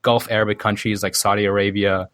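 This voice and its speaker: A man from Sudan explaining things casually as an interview guest, haltingly thinking aloud and correcting himself as he goes. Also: on the low side, flat delivery, fairly bright tone, no room sound, studio quality. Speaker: podcast guest, programmer.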